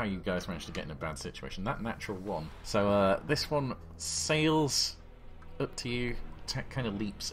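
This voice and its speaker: A man's voice: low voice, a bit bright. A man running a tabletop horror game as game master, describing the action blow by blow with sound effects, on a voice call.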